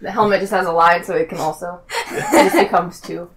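A woman's voice: lowish voice, steady tone, a little fast. A woman joking casually as a player in a tabletop game. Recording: slightly distant mic, faint noise.

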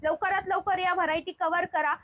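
Woman, high-pitched voice, dry close sound, shopping vlog host, spontaneous product showcase. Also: steady tone, fairly quick, fairly bright tone, no room sound. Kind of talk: spontaneous product showcase, presenting to viewers and chatting with the seller.

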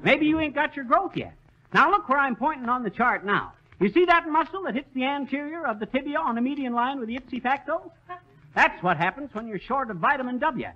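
A blustery small-town know-it-all shopkeeper, high-voiced and swinging widely in pitch. He talks in folksy slang, as in 1930s radio comedy, on a muffled old recording. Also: expressive, fairly quick. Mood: pompous salesman.

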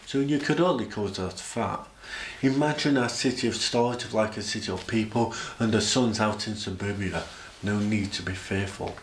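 Man, echoey spoken-word delivery. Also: medium pitch. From Sheffield, England. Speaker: presenter. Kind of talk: rhyming spoken-word poetry about science. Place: indoor room, speaking to camera. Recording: echoey room.

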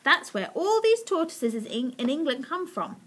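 A woman voicing a shy, lovesick gentleman in a children's story, speaking politely and solemnly. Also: sits high, big pitch swings, normal speed, voice pushed up. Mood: scheming, earnest.

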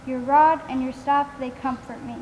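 A high, solemn voice of a devout mourner, a woman acting in a stage play. The recitation is measured, with faint noise behind it. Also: steady tone, darkish tone, lightly acted. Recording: faint noise, distant theater stage recording.

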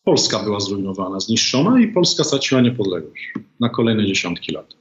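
A middle-aged man as an interview guest, giving a measured political analysis in a deliberate way with many hesitant pauses, heard over a video call. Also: fairly low pitch, big pitch swings. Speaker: guest, former ambassador. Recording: echoey, dull video call audio.

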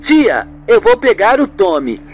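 A woman's voice: mid-range voice, sing-song, measured pace, faint music behind. A woman playing a sensitive, earnest young nephew in an amateur radio soap. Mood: apologetic.

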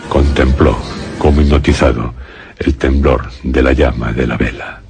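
A man with a Castilian Spanish accent narrating a suspense radio drama in a grave, measured storytelling manner. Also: very deep voice, fairly even intonation, vocal fry, music in the background.

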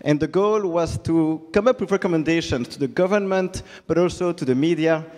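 A man with a French-speaking Belgian accent gives a casual conference talk, full of fillers and restarts. His voice sits a little high. The sound carries the long reverb of a big hall. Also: a little fast, a little mellow. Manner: conversational, many fillers and restarts. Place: large hall with audience. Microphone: handheld mic.

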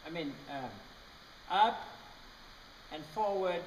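High-voiced riding instructor in an echoey arena. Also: male voice, slow pace, recorded from a distance. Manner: patient, explanatory, frequent "okay?" check-ins. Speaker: riding clinician. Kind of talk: coaching a rider. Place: large indoor riding arena. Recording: roomy echoey arena from afar with noise.

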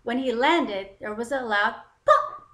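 Higher-voiced woman reading to children, roomy sound. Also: animated, slow pace, fairly bright tone. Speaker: children's story time host and narrator. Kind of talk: expressive children's read-aloud narration. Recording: roomy, echoing voice recording.

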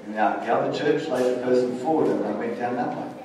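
The sound is distant and heavily reverberant, a church hall with clear audience noise. A middle-aged man preaches at the lectern, moving between scripture reading and measured, plain-spoken explanation. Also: mid-range voice, a crowd in the background. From Australia. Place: church stage, indoors. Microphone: stand mic at lectern, heard from far camera.

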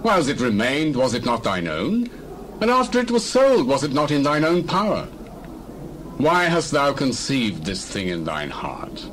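Bold male apostle played by a man, declamatory and highly varied pitch, dramatized scripture. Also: very animated, normal speed, fairly bright tone, a little hiss or hum. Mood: stern rebuke. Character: bold, righteous male apostle preaching and rebuking. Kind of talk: dramatized Bible reading.

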